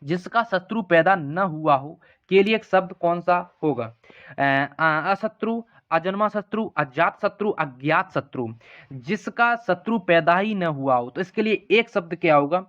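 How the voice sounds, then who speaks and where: A man's voice: sits high, expressive, measured pace, a bit bright, lo-fi sound. A man teaching an exam-prep lesson, reading out questions and options in an instructional way and repeating the answers. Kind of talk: lesson walking through multiple-choice practice questions.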